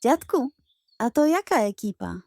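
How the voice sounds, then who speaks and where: A woman voicing a curious young schoolboy in a children's story, naive and excitable. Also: a little high, a little slow, vocal fry, dry recording, studio quality, full character voice. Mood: excited, proud. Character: curious young schoolboy, grumbly then wide-eyed.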